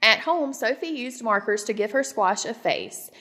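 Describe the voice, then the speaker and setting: A woman's voice: fairly high pitch, expressive, normal speed, sharp tone. Woman reading a storybook in a reverberant room. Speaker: storybook reader. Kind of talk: children's read-aloud narration.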